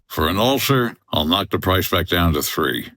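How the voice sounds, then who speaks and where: Deep, deadpan noir tough guy. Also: moderate pace, no room sound, clean studio sound. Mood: gruff, wry. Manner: deadpan, sardonic. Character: dry, unflappable American hotel owner, noir tough guy. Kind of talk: noir comedy radio drama acting.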